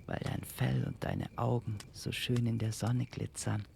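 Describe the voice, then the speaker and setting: A man plays an eager little boy in a storybook audio play. The voice is lifted well above the performer's own, childlike and imploring, full of affection and excitement. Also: medium pitch, measured pace, vocal fry, slight background noise, pitched above the performer's own voice. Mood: delighted, affectionate.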